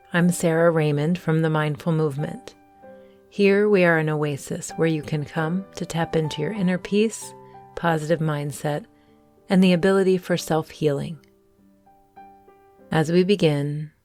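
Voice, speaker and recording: A woman leads a guided meditation in a gentle, fairly low voice. She speaks very slowly and deliberately, leaving space between phrases. Clearly audible soft music plays behind her. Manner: gentle, soothing, deliberate phrasing with long pauses.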